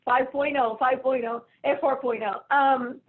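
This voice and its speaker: A woman's voice: high-pitched, steady tone, a little slow. A woman from Colombia with a Colombian Spanish accent, speaking as a guest in a casual remote interview, chatty and self-deprecating. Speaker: guest, finance executive. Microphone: video call. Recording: phone-like narrow audio over video call.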